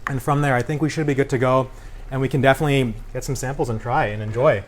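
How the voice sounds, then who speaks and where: A man's voice: mid-range voice, steady tone, fast, a little hiss or hum. An upbeat, chatty man explaining food casually as a guest cooking demonstrator. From Punjabi Indian family.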